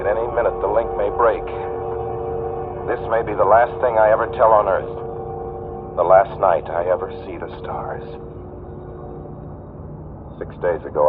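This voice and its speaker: A man's voice: fairly low pitch, close to an everyday voice. A man playing a haunted, desperate motorist in his thirties in an intense 1940s radio drama. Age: 30s. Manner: urgent, intense first-person confession. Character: haunted, desperate 36-year-old male motorist telling his story. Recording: tinny vintage radio recording, faint noise.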